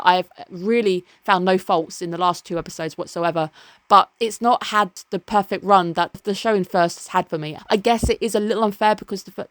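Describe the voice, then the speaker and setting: A woman talks through her favourite anime of the season in a loose, chatty voice. Her pitch sits in the middle and the sound is close and dry. She is enthusiastic and breaks off into quick asides. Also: a bit bright, no echo. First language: English. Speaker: anime reviewer. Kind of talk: casual video review.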